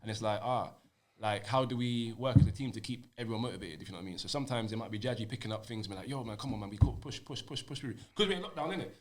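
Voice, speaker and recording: A man, a music group member chatting casually as an interview guest. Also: fairly low pitch. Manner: fast, slangy, talks over others.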